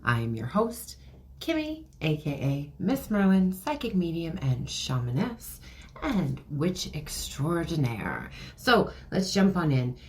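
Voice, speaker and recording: A middle-aged woman hosting a casual spiritual podcast, reading oracle cards, chatty with many ums and laughs, a little hoarse as she is losing her voice. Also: low-pitched, sing-song, slow pace. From Canada. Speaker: podcast host and card reader.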